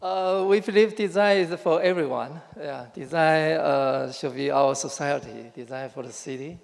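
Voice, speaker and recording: A man giving brief, halting answers on stage. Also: a little high, gravelly. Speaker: interviewee on stage. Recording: distant voice in a reverberant hall.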